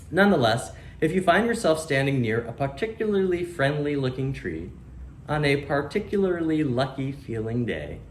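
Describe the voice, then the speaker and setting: A man voicing a friendly, proud, wry oak tree in a children's storybook, chatty and emphatic with deliberate pauses. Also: medium pitch. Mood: confiding, reassuring. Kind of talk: children's storybook read-aloud. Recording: roomy echo, faint background noise.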